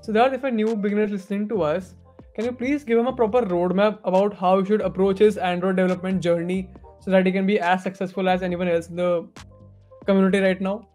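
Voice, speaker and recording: Podcast host: man in his twenties, high pitch, rapid casual questioning, light music underneath. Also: steady tone, darkish tone. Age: 20s. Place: small indoor room.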